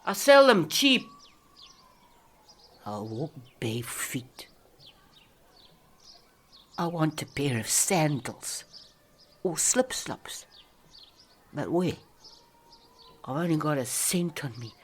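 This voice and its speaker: A woman's voice: low voice, big pitch swings, takes it slowly, long pauses, a little hiss or hum, full character voice. An actress playing an ageing, down-and-out South African woman, feisty and lonely, rambling and muttering to herself in a radio play. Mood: grumbling, defiant. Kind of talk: dramatic monologue in a radio play.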